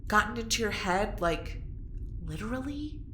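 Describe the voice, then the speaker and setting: A woman's voice: mid-range voice, animated, normal speed, fairly bright tone, lightly acted. A woman playing a blunt, sarcastic, casual young woman in an audio drama. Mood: snarky. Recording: echoey room, faint noise.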